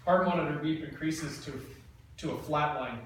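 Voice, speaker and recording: A man reading screenplay stage directions in a steady, plain delivery. His voice sits a little high and sounds distant, bathed in heavy room echo with light hiss. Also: moderate pace. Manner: plain, even reading with small stumbles. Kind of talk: reading screenplay action lines. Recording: echoey room, mic from afar, faint noise.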